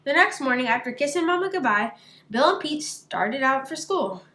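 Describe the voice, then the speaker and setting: A woman narrating a children's picture book aloud in an expressive storytelling style. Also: high-pitched, moderate pace.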